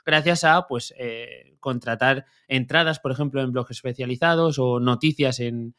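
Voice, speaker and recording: A man co-hosting a podcast, reading a sponsor spot and chatting with a guest in a relaxed way with many hesitations. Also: mid-range voice, moderate pace. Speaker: podcast co-host. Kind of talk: ad read and casual interview.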